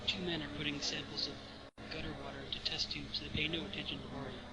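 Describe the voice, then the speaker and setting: A man's voice: a little high, flat delivery, fast, very noisy. A man narrating stage directions in an informal read-aloud of a screenplay.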